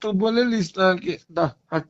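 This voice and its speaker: A man's voice: high voice, measured pace, no room sound. A man with a Bhojpuri accent in an emotional private argument, pleading and agitated, repeating himself, on a recorded phone call. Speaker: caller in a personal phone argument.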